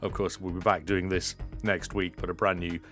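A man's voice: low voice, little pitch movement, normal speed, a bit bright, soft background music. A man hosting a podcast in a casual, explanatory way, often checking points with tag questions. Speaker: podcast host. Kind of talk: casual educational news discussion.

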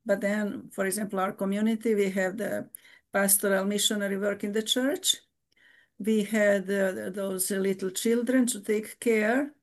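Woman, older, nun, halting, many restarts, frequent laughs. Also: mid-range voice, fairly even intonation. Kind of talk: casual interview.

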